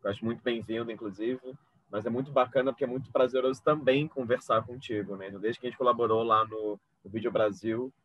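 A man's voice: mid-range voice, steady tone, fast talker. A man in his thirties with a Brazilian accent, talking casually as an interview host on a video call. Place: indoor room with bookshelves, video call. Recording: dry, dull video call audio.

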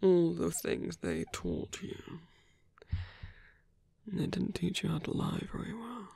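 A man performs a seductive male villain for an ASMR roleplay, coaxing and teasing at a very unhurried pace. His voice is husky and breathy, often sinking to a whisper, with a creaky edge. He leaves long pauses, as if toying with a captive. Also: sits high, darkish tone, dry recording, heavily acted. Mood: playful, seductive.

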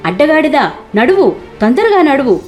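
A woman's voice: high voice, very animated, normal speed, darkish tone, soft background music, pitched above the performer's own voice. A woman voicing a bad-tempered, scolding male merchant who grumbles in exasperation in a cartoon moral story. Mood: angry.

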